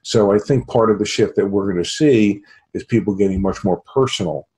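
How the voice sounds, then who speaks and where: An American man in his fifties giving a halting product pitch as an interview guest on a video call from a home office. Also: a little mellow, poor audio. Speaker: sales executive, interview guest. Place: small home office, indoors. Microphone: computer video call.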